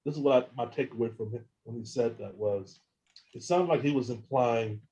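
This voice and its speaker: A man telling his own experiences as an interview guest, halting and often repeating words as he restarts sentences. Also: mid-range voice, warm tone, lo-fi sound. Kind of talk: casual first-person account.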